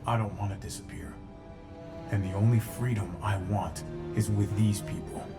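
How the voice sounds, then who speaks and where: An actor playing a dry, determined outlaw pilot hero in a video game, laconic and understated. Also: male voice, lowish voice, steady tone, normal speed, music in the background, lightly acted. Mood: resolute. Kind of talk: video game cutscene acting.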